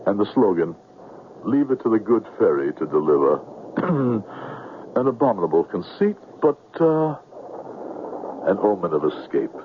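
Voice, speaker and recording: An actor playing a smooth-talking, anxious con man on the run in a 1970s radio drama, with florid, theatrical diction. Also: on the low side, phone-quality sound. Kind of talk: 1970s radio drama acting, first-person narration. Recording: noisy, phone-thin old radio broadcast.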